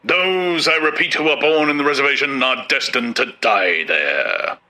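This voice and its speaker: A male narrator playing a pompous older official who booms out facts and unasked-for advice. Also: moderate pace, sharp tone. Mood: leering. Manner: booming, lecturing, self-satisfied.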